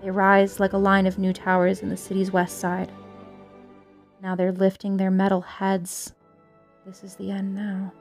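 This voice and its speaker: A woman's voice: medium pitch, monotone, measured pace, faint music behind, close to an everyday voice. Woman acting a doomed radio announcer, urgent dread. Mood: grim dread. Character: doomed female radio announcer reporting an invasion live. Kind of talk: live radio news report acting.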